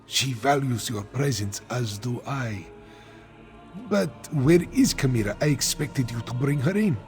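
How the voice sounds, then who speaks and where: An actor voicing a devoted older catfolk guardian in a video game, with a formal, declamatory way of speaking. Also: male voice, mid-range voice, expressive, unhurried, a little mellow, soft background music. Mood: calm, fond. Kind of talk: scripted video game voice acting.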